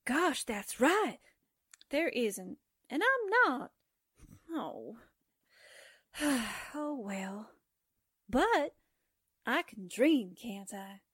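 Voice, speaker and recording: Actress as a high-pitched, dreamy, gullible sidekick. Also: female voice, big pitch swings, extremely slow, long pauses, a bit bright, slightly rough, no echo, studio quality, exaggerated voice. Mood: wistful, chatty. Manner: gushing, wistful, naive. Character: dreamy, gullible, sentimental young woman secretary sidekick. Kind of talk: old-time radio drama acting.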